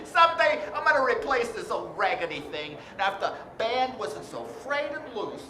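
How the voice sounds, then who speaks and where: Man acting a folksy, animated department store greeter. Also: very high-pitched, normal speed, exaggerated voice. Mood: cheerful. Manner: folksy, chatty, chuckling and self-effacing. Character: cheerful, humble male department store greeter in his forties. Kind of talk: 1940s period radio drama acting. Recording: roomy stage sound, clear background noise.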